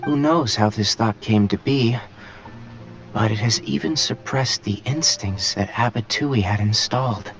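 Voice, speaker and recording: An actor playing a calm, analytical young male scholar in a video game, explaining things in a measured way. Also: lowish voice, natural voice. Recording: dull game audio under music.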